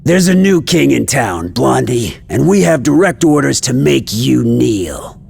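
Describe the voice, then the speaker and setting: Gravelly, cocky villain henchman. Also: mid-range voice, very animated, unhurried, a little mellow, slight background noise, exaggerated voice. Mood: cocky, taunting. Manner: jeering, swaggering. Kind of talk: video game voice acting. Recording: music behind the voice.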